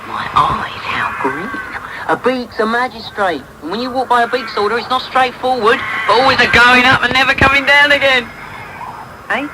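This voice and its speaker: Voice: mid-range voice, moderate pace, natural voice. An actor playing a cocky young London pickpocket boy in a radio drama, full of teasing slang, taped off a noisy radio. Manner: slang-heavy, teasing patter. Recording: noisy medium-wave radio taped off air.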